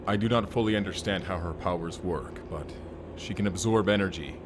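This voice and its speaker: A man acts out a stoic warrior from a cyberpunk audio drama. He speaks in a fairly low voice with formal, terse, solemn phrasing. Ambient noise is clearly audible behind him. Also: a little fast, natural voice. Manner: formal, terse, avoids contractions. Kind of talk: first-person audio roleplay acting.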